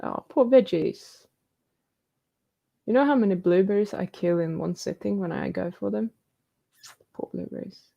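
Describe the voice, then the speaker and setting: A woman's voice: medium pitch, expressive, unhurried, gravelly, long pauses, dull audio. A woman hosting a live stream, reading out viewer questions and comments and answering them in a chatty, casual way. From New Zealand.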